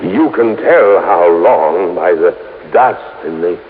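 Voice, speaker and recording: Eccentric older horror-film recluse: slow, halting, boastful, mumbling, radio drama, noisy vintage recording. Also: male voice, sits low, narrow, tinny audio. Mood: proud, obsessive. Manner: halting, repetitive, mumbled hums, boastful and excitable. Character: eccentric reclusive retired horror-film maker, squat castle owner. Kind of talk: 1950s radio drama acting.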